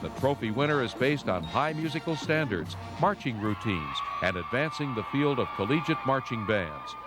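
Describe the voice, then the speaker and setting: A man's voice: medium pitch, sing-song, moderate pace. A man with an American accent reading scripted newsreel narration. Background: music.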